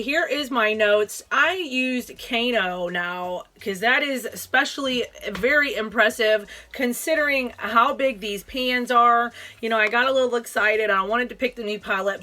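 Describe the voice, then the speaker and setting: A young woman chatting casually about makeup to her viewers, her voice raspy and stuffy as she gets over an illness, apologizing and laughing often. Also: fairly high pitch, pushed and bright. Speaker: beauty vlogger. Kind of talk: casual makeup chat to camera.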